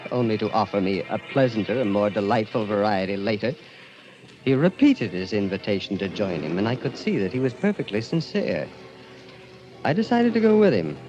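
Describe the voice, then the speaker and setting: A man's voice: lowish voice, lo-fi sound. An actor playing a despairing, world-weary man who tells his story melodramatically in an old-time radio drama. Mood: reflective. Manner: melodramatic, brooding. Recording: old radio recording with music.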